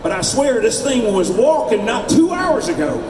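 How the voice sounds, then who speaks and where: A man's voice: very high-pitched, very animated, normal speed, pitched above the performer's own voice. A man voicing a menacing, irritable male villain from a story, cajoling or curt. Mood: coaxing. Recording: roomy, distant hall recording with loud noise.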